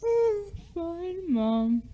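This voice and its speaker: A woman's voice: very high voice, sing-song, drawn-out words, warm tone, full character voice. A woman playing a flippant, joking grown son in an amateur radio play. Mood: casual. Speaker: high school theatre performer.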